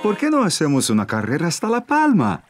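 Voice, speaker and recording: An actor playing a patient, encouraging young father who explains gently in a children's cartoon dub. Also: fairly high pitch, wildly swooping pitch, brisk, music in the background. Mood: playful, encouraging.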